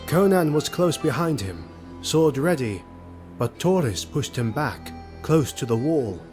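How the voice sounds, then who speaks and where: A man narrating a fantasy audio drama in a measured, suspenseful scripted style. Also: medium pitch. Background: music.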